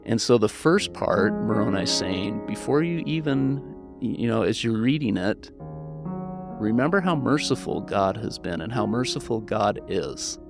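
A man's voice: fairly low pitch, expressive, unhurried, creaky, music in the background. An American man speaking as an interviewed historian, explaining and telling stories in an earnest, informal, conversational way. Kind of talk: conversational explaining and personal storytelling.

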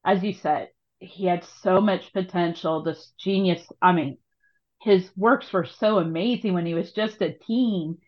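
Lowish-voiced woman hosting, slightly muffled audio. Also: measured pace. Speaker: podcast host, interviewer. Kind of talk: conversational podcast interview.

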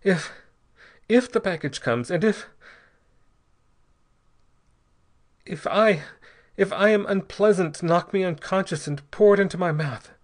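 Man acting a gasping, desperate poisoned gentleman. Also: a little high, pitched above the performer's own voice, lightly acted. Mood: strained, resigned. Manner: halting, formal, pleading speech. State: gasping, unsteady. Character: poisoned Argentine gentleman, desperately polite, gasping, fighting madness.

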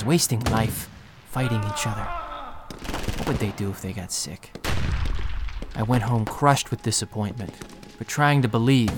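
A man acting the narrator of an audio drama, an earnest, self-doubting young man from an undercity slum, speaking in a halting, confessional, emotional way. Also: mid-range voice, natural voice. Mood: crushed, self-loathing. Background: music bed.

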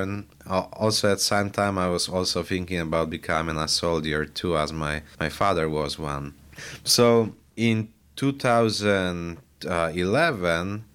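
A young priest with a Polish accent speaks in a low, clear voice. He tells his story conversationally, with a smooth and resonant tone. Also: fairly bright tone. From Poland.

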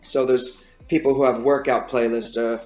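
A man with a raspy voice, a guest musician talking casually in an interview, with many fillers and restarts. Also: mid-range voice, little pitch movement, normal speed, a little mellow, roomy sound.

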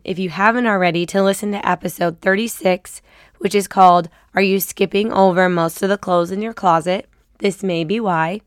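Woman podcast host, cold-roughened raspy voice, encouraging, dry close sound. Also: mid-range voice, dry recording. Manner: upbeat, chatty, encouraging. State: has a cold, slightly raspy. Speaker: podcast host and style coach. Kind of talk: casual faith-based podcast talk.

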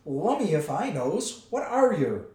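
A man voicing a big, slow-witted, blustering troll for a storybook, with rough Cockney speech, aitches dropped. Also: fairly high pitch, sing-song, darkish tone, pitched above the performer's own voice. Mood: loud startled suspicion. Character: big slow-witted beer-swilling troll, blustering but soft-hearted. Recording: roomy, distant microphone.

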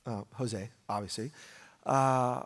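A man's voice: mid-range voice, fairly even intonation, takes it slowly. An American man preaching a conversational sermon as a pastor, informal in manner, posing rhetorical questions and repeating phrases for emphasis. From United States. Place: large reverberant room, preaching live. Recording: reverberant hall.

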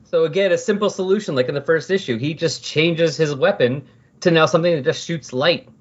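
A man with a slightly husky voice talking casually about comic books as a podcast host, retelling scenes in an animated way. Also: fairly high pitch, fairly quick, highs cut off. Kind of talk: casual comic book discussion.

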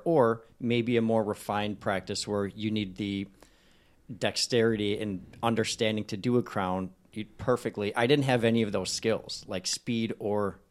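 A man with a lowish voice, a dentist guest on a podcast. He explains his training earnestly and in detail, with the hesitations of casual talk.

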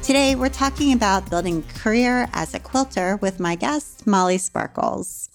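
A woman's voice: medium pitch, moderate pace, faint music behind. Upbeat woman hosting a podcast. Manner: friendly, upbeat, laughs often. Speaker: podcast host. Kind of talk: podcast interview and read ad.

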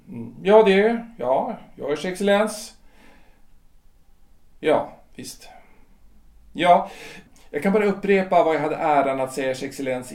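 A roomy recording, a little off the mic, of a troubled middle-aged husband in a period radio play. His fairly high male voice speaks formal haltingly, often repeating words. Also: a little mellow, far from the mic. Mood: formal, deferential. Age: 40s. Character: wounded, agitated husband, lawyer and politician of forty-three. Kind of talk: period stage-play audio drama acting.

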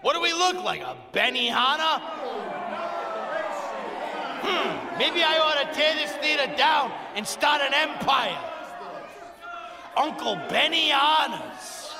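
An actor playing a crude, boastful uncle in a comic audio drama, bombastic and rambling. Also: male voice, very high voice, lively intonation, slow pace, pauses often, a crowd in the background, exaggerated voice. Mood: scornful. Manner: foul-mouthed, bombastic, rambling. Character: crude, boastful, smelly uncle in theater troupe. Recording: echoey hall with audience noise.

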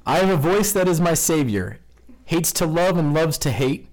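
A man's voice: on the high side, moderate pace, low-quality recording. A man hosting a casual trivia game among friends, reading out questions and laughing often. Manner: animated, laughs often, reads questions aloud. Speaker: quiz host.

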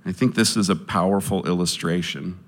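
A man with a low voice speaking earnestly to a live audience, sharing his testimony and appealing for support, with many pauses and restarts. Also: steady tone.

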